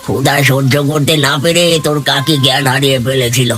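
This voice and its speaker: A man's voice: medium pitch, fairly even intonation, a little fast, a bit bright, soft background music, lightly acted. A man voicing a despairing, hungry poor villager in a cartoon fairy tale, talking plaintively. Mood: worried.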